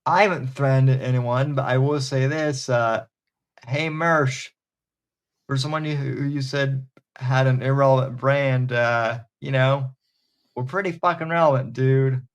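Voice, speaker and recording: Man, podcast host, rambling, hesitant, mid-pitched voice. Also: steady tone, a little slow. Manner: rambling, hesitant, many restarts and fillers. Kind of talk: casual chat.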